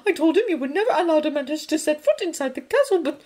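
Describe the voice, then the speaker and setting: A woman reading a story voices a stern older female professor, loud, shrill and indignant. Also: big pitch swings, fast. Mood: furious.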